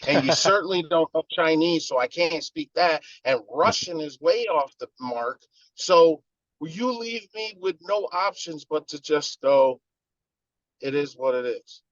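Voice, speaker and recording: A man's voice: on the high side, animated, unhurried, fairly bright tone, no echo. Male co-host, heated emphatic ranting, dry close sound, a bit lo-fi. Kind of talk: casual chat.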